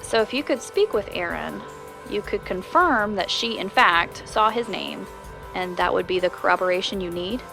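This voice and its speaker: Friendly, inquisitive female podcast host, mid-range conversational voice, audio drama over soft music. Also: medium pitch, lively intonation, moderate pace, vocal fry. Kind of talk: scripted podcast narration and audio drama dialogue.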